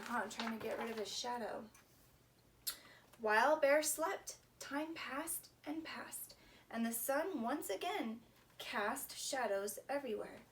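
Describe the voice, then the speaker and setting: A woman leading a children's story time, reading expressively in simple phrases and asking young listeners questions. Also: fairly high pitch. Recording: distant mic with faint background noise.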